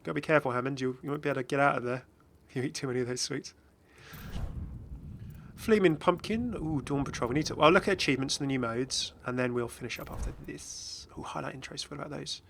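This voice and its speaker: A man's voice: medium pitch, fast talker, vocal fry, fairly bright tone, a little hiss or hum. A man giving casual, chatty commentary as host of a gaming video. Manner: chatty, frequently chuckling.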